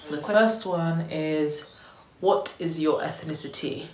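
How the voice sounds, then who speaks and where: A woman's voice: low-pitched, slow pace, a little mellow. A young woman from Tanzania chatting casually in a vlog, upbeat and loose, with lots of "um" and "you know". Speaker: vlogger. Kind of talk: casual vlog chat to camera. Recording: echoey room with faint noise.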